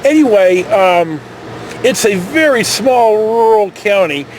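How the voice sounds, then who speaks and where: A man's voice: high-pitched, expressive, slow pace, a little hiss or hum. An American man from California tells a casual, rambling anecdote in a folksy, digressive, mildly profane way. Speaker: storytelling vlogger.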